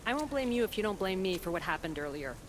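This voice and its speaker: A caring, firm woman doctor in a video game, played by an actress, anxious and reproving. Her pitch moves in a lively way as she speaks earnestly, with noise behind her. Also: quick pace, natural voice. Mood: conciliatory. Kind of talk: video game cutscene acting. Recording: background noise, game ambience.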